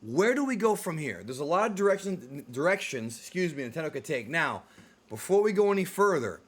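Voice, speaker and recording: A man's voice: on the high side, sing-song, brisk, slightly rough. A man hosting a casual, enthusiastic movie and game discussion, speaking emphatically straight to the viewers. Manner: emphatic, addresses viewers directly. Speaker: host of a movie and video game discussion show. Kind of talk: casual enthusiastic video commentary chat.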